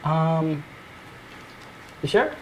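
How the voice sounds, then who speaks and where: A man co-hosting a casual live stream from a home workshop, slightly hoarse and rambling with easy laughs. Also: fairly high pitch, drawn-out words, slight background noise, rough recording. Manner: relaxed, rambling, laughs often. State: losing his voice. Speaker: co-host.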